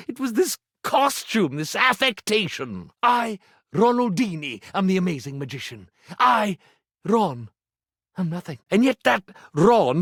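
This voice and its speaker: A man voicing a pompous, insecure stage magician for a video game, with grandiose, florid delivery and dramatic pauses. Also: high-pitched, fairly bright tone, no room sound, studio quality, full character voice. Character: pompous theatrical iron-turned-stage-magician, melodramatic and insecure. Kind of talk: video game character acting.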